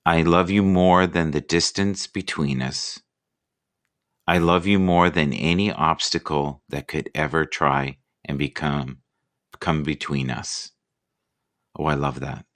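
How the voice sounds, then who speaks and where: A man hosting a casual live chat, relaxed and rambling, who reads inspirational quotes aloud slowly. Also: extremely low pitch. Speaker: livestream host.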